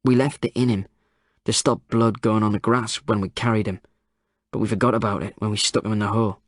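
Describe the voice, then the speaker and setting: A man's voice: fairly low pitch, steady tone, a little fast, dry recording, studio quality, lightly acted. A man voicing a frail, tearful old man. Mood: quiet, haunted. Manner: sobbing confession, pleading.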